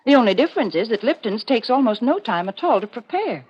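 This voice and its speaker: A woman's voice: fairly high pitch, very animated, quick pace, breathy edge. Woman announcer on muffled 1940s radio. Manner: folksy, earnest, persuasive sales delivery. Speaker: sponsor announcer and show regular. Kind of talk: 1940s radio advertising read.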